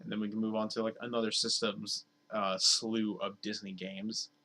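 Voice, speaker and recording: A man streaming games, talking casually and rambling with lots of fillers, his voice slightly hoarse. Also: on the low side, flat delivery, moderate pace, pushed and bright. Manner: rambling, filler-heavy, repeats words. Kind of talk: casual live-stream chat over gameplay.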